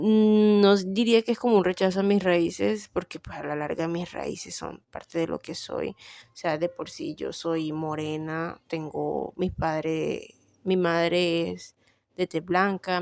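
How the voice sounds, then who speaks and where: Gravelly Colombian coastal woman. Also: on the low side, darkish tone, no echo. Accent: Colombian Caribbean coast. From Barranquilla, Colombia. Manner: rambling, thinking aloud, frequent fillers. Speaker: vlogger. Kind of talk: casual personal chat.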